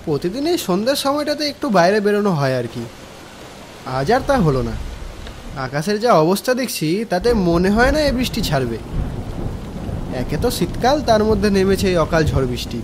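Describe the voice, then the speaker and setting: A man's voice: sits high, sing-song, a little fast, pauses often, a little hiss or hum. A man with a West Bengal accent playing a young tea lover who narrates a cartoon ghost story in the first person. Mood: brooding, unhurried. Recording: rain and thunder sounds behind.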